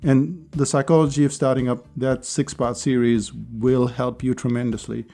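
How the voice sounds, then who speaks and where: A man hosting a casual solo podcast, chatty and persuasive, with his voice hoarse and going. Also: mid-range voice, little pitch movement, normal speed, dark tone, soft background music. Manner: chatty, persuasive, with small laughs. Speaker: podcast host.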